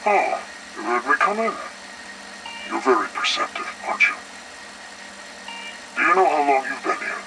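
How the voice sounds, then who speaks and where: A man playing a calmly menacing facility director interrogating a captive in a game audio log, with measured, condescending delivery. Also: mid-range voice, noisy background. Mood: falsely friendly, menacing. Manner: measured, condescending, veiled threats.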